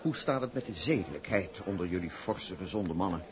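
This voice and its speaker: An actor playing a nosy, patronising gentleman doctor in a period drama, pompous and confidentially insinuating. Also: sits low, animated, a little fast. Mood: confidential, prying. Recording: party crowd chatter behind.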